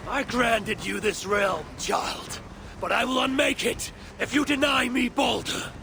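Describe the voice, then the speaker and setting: An actor playing a proud, stubborn old Norse god-king in a video game, gravelly and theatrical in his grand declaiming. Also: very high voice, a little slow, pushed and bright, slight background noise, full character voice. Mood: defiant, furious. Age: older. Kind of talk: dramatic game cutscene acting.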